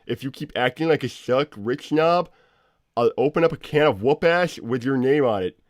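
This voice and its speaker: A man playing an awkward, exasperated cartoon animal inventor, co-hosting an in-character livestream in halting, repetitive chat. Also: medium pitch, lively intonation, no room sound, studio quality, natural voice. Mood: bemused, awkward. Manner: halting, stammering, repeats himself. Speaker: livestream co-host.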